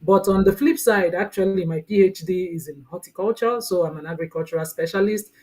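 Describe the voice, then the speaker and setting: A woman's voice: lowish voice, fairly quick. A woman in her thirties with a Nigerian accent, speaking as a guest over a video call in a chatty, friendly way. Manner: warm, chatty, laughs often, says "right?". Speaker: guest, mentoring coach. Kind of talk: casual interview talk.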